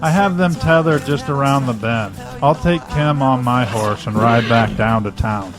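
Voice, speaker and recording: A man's voice: mid-range voice, measured pace, music in the background, natural voice. An actor playing a practical, protective man in a survival audio drama. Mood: concerned, decisive.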